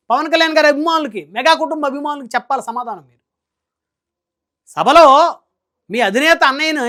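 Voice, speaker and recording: Fast-talking, emphatic male news commentator. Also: very high-pitched, bright, forceful tone. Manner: emphatic, scolding, rhetorical questions. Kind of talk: opinionated political news commentary.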